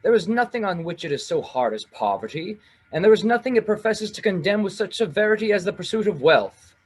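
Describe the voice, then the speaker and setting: Proud defensive young victorian gent, radio play, high husky voice, stagey. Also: male voice, expressive, fairly quick. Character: young Ebenezer Scrooge, proud defensive ambitious man. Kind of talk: theatrical radio drama acting.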